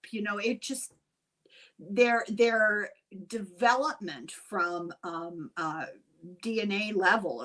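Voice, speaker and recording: A woman's voice: mid-range voice, fairly bright tone. Inquisitive older woman interviewing. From Ireland. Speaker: host and interviewer. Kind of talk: casual conversational interview.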